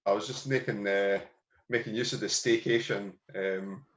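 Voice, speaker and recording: Man, low flat voice, hesitant, understated, roomy muffled call audio. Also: moderate pace. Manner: quiet, hesitant, dry understatement. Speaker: podcast co-host. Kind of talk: casual chat. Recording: dull roomy remote line.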